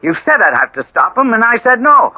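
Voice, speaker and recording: An actor playing an agitated, suspicious small-town husband in an old-time radio drama, stammering and insisting. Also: male voice, extremely high pitch, very animated, a little fast, full character voice. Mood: brooding, resolved. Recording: muffled old radio recording, music behind.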